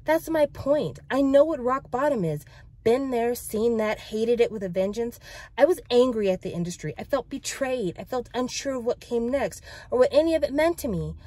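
A woman's voice: a little high, animated, moderate pace, warm tone, no echo, pitched above the performer's own voice. A woman voicing a resilient, brashly confident young female pop idol in a book read-aloud, frank with some jokey bravado. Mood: encouraging.

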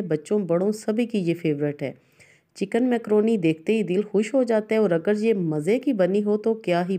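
Female recipe narrator, mid-range and mellow voice, instructive voice-over delivery. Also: medium pitch. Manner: instructive, addresses viewers directly. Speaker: cooking show host.

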